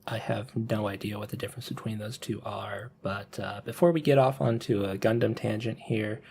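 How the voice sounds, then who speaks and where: A man's voice: lowish voice, fairly even intonation, moderate pace, creaky, a little mellow, slight background noise. A man hosting a casual podcast discussion, rambling and hedging as he steers the talk back on topic. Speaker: podcast host.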